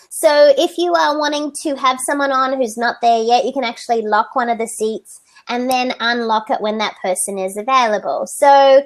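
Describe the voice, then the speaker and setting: Woman host, very high bright voice, upbeat chatty live stream, computer mic. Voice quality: high, light voice. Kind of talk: casual live video chat. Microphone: computer mic on video call.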